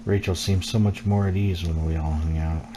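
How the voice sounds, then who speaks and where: A man's voice: low voice, fairly even intonation, normal speed. A man reading the text of a horror game aloud as scripted storytelling while streaming it. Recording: clear background noise.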